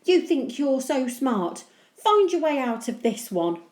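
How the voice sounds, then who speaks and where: A woman's voice: sits high, big pitch swings, unhurried, a little mellow, roomy sound, pitched above the performer's own voice. A woman voicing a cheeky, scheming young boy in an exclamatory storybook manner. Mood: smug. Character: scheming, indignant young boy, horrid older brother.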